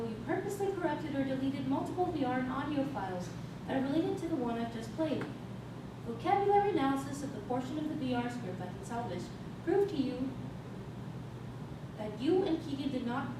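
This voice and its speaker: A woman's voice: sits high, lively intonation, fairly quick. A woman in a staged reading playing a formal, literal ship's computer that recites records in a precise, matter-of-fact way. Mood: detached, accusing. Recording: very echoey hall, distant mic, noisy.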